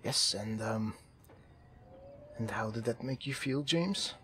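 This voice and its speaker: A man playing an eccentric, earnest amateur therapist in a roleplay game, halting and awkward, repeating himself for emphasis. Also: medium pitch, pushed and bright, slight background noise. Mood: awkward, uneasy. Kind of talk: improvised roleplay in a zombie survival game.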